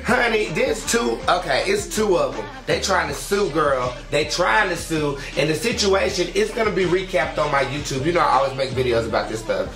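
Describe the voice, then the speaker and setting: A man hosting a flamboyant talk radio show, sassy and theatrical, sometimes sing-song, his voice slightly raspy from illness. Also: on the high side, expressive, moderate pace, few pauses. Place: radio studio. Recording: echoey room with music behind.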